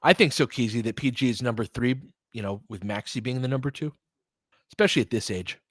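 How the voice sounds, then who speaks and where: A man gives informal play-by-play and analysis of a basketball game in a mid-pitched voice. He thinks out loud in a conversational way, like a streamer chatting through the action. The sound is dry, with very little room in it. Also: quick pace, dry recording. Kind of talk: casual live commentary mixed with analysis and chat.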